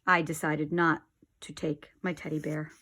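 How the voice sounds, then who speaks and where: A woman narrating a children's storybook like a teacher, with deliberate, expressive phrasing. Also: low voice. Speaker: teacher reading aloud to children. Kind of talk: storybook read-aloud narration.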